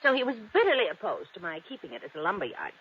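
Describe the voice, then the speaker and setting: An actress playing a wealthy, dramatic widow in an old-time radio drama, guarded and dismissive in manner. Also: female voice, lowish voice, sing-song, racing. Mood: dismissive, confiding. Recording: noisy, muffled vintage radio recording.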